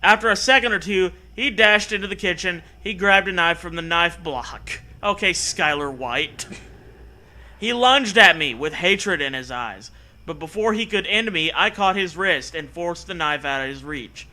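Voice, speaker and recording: A man's voice: high-pitched, expressive, normal speed, sharp tone. A man hosting a comedy show, reading a story aloud mockingly and riffing in a sarcastic, profane, exclamatory way.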